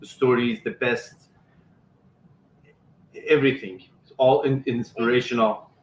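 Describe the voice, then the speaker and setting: A man's voice: medium pitch, fairly even intonation, a little slow, muffled recording. Reflective man hosting in an echoey room. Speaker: show host. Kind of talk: casual interview.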